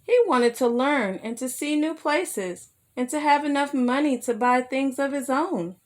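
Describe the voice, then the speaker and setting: Lady reading a kids picture book out loud, real slow, slightly high voice, chats to the little listeners. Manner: addresses young listeners with simple questions. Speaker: children's storyteller. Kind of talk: read-aloud children's picture book narration with asides.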